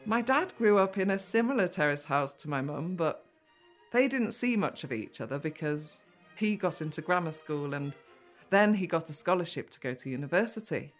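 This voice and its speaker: Actress doing woman narrator, lowish voice, fond family storytelling for an audio drama, music behind. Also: animated, moderate pace, lightly acted. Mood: reflective, fond. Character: woman narrator fondly recalling her mum's life.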